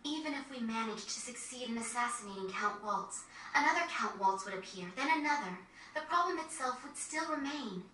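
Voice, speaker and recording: A woman playing a poised, principled young noblewoman, speaking formally and deliberately in a video game cutscene. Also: fairly high pitch, animated, sharp tone. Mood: solemn, resolute. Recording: roomy, distant game capture with faint noise.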